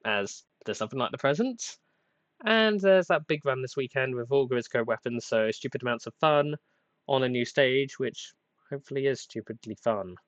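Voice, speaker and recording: Young male podcast host, rambling and hesitant, plain flat voice, slightly under the weather; dry, dull lo-fi mic. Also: medium pitch, normal speed, no room sound. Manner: rambling, self-deprecating, hesitant with many uhs and restarts. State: getting over a cold. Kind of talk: casual solo podcast rambling.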